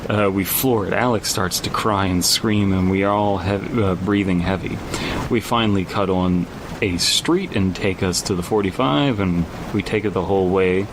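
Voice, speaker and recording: A man co-hosting a podcast, casually reading a story aloud and adding joking asides. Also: normal speed. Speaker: podcast co-host. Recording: loud low rumbling background noise.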